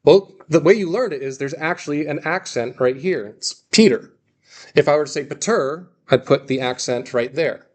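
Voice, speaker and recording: A man's voice: a little high, moderate pace. A man giving an informal classroom lecture as a language teacher, reassuring, repeating key points and often checking with "okay" or "right.".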